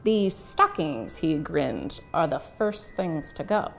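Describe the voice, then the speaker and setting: A woman's voice: deep voice, expressive, slow, vocal fry. A woman reading a children's story aloud, with music underneath. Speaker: library staff member reading aloud.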